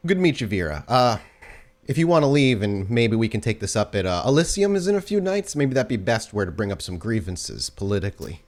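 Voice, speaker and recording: Man playing a guarded, low-voiced doorman. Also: sing-song. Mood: guarded politeness. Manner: measured, stonewalling, sardonic politeness. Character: evasive, dryly polite male doorman. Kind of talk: improvised tabletop roleplay.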